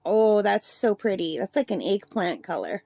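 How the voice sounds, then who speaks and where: A woman with a slightly gravelly voice chatting and gushing as she swatches paints in a casual demo at her craft table. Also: mid-range voice, big pitch swings, normal speed, dark tone, dry recording. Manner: chatty and gushing, thinks aloud. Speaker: art supply reviewer.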